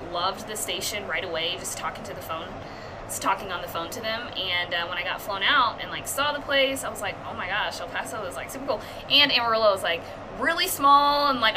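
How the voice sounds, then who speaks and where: A woman's voice: mid-range voice, noisy background. A young woman in her twenties, a TV news reporter, chatting upbeat and casually in an interview with many fillers.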